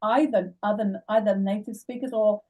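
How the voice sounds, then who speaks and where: A woman's voice: medium pitch, steady tone, normal speed, a little mellow. A woman hosting an interview, an English teacher asking thoughtful questions. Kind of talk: interviewing a guest. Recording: distant mic.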